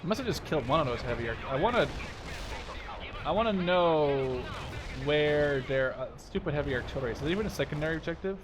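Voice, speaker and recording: A man's voice: a little high, animated, quick pace. A man in his twenties giving casual, rambling gameplay commentary, often interrupting himself. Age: 20s. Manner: rambling, self-interrupting, informal with mild swearing. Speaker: gaming video maker. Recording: game battle noise behind voice. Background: artillery, gunfire and vehicle sounds from game.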